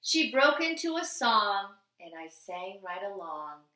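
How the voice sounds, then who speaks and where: Animated woman reading a storybook, slow pace. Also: medium pitch, echoey room, poor audio. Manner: expressive, deliberate, sing-song rhyming delivery. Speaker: teacher reading a picture book aloud.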